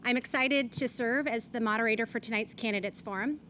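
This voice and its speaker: A woman's voice: fairly high pitch, brisk, fairly bright tone, slight background noise. A woman, moderating a candidates forum on stage and reading rules and statements aloud. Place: event stage.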